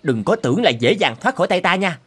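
Swooping, blustering cartoon hunter. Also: male voice, high-pitched, sing-song, fast talker, a bit bright, slightly rough, heavily acted. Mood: suspicious, blustering. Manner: theatrical, blustering, mocking. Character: burly middle-aged male hunter, gloating, mocking, suspicious. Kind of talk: cartoon character acting.

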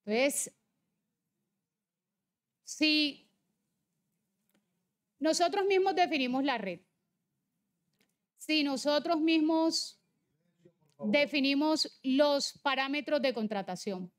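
Female legislator, 40s, Colombian Caribbean accent from Barranquilla, high voice, emphatic debate delivery. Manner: insistent, emphatic. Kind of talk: political debate speech with slides.

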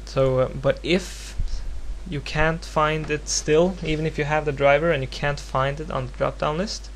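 A man from Norway speaking English as a second language with a Norwegian accent, giving a casual, unscripted software tutorial in a hesitant, rambling, self-deprecating way. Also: a little high, fairly even intonation, normal speed. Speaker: tutorial presenter. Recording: home recording with clear background noise and hum.

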